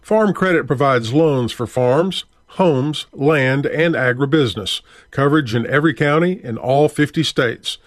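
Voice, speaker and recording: A man hosting a farm radio program, reading rural news and sponsor copy in a steady announcer delivery into a studio mic. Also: mid-range voice, measured pace, few pauses, no echo.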